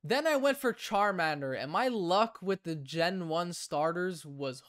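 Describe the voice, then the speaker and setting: Excitable young man narrating game hunts. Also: high voice, measured pace. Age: young adult. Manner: bursts into excited shouting and laughter at lucky finds. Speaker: gaming YouTuber. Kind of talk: game commentary and recap narration. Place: home gaming room, indoors.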